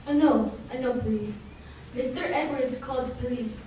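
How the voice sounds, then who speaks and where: A woman's voice: sits high, moderate pace, warm tone, close to an everyday voice. A woman playing a deferential immigrant housemaid who speaks broken English, in old-time radio drama style. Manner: broken English with dropped articles. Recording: very echoey hall, distant mic, faint noise.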